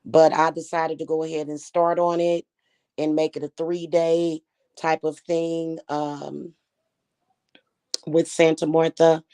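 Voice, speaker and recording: A woman talks straight to her viewers in a loose, chatty way. Her voice is slightly hoarse, and her tone stays defiant and unbothered. She calls the audience "y'all" throughout. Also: sits low, level tone, slow pace, dark tone. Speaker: spiritual reader and channel host. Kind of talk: casual talk to viewers.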